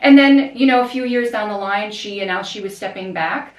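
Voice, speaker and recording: A woman's voice: medium pitch, fairly quick. American woman, echoey distant mic. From United States. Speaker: magazine editor, guest. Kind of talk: casual interview chat. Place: home room.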